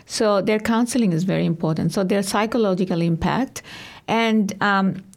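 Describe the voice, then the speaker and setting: Earnest woman from Bangladesh, gravelly tone. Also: mid-range voice. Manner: earnest, halting with many ums. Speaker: community worker and guest. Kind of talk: interview answers.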